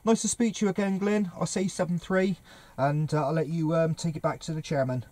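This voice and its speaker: A man talks casually on a CB radio net. His voice sits a little high and has a soft, mellow tone. He uses operator jargon over the radio. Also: steady tone, fairly quick.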